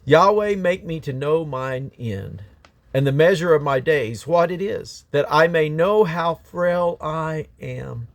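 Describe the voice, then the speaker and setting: A man's voice: fairly high pitch, expressive, slow, no echo. A man reading scripture aloud in a solemn, emphatic, declamatory way, stressing his phrases. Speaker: Bible reader.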